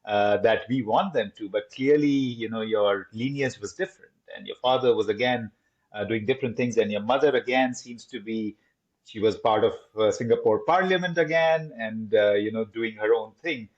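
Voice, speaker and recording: Podcast host guy, lowish voice, muffled lo-fi sound, rambly questions. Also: moderate pace. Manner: rambling, long winding questions. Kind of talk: podcast interview. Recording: dull lo-fi audio.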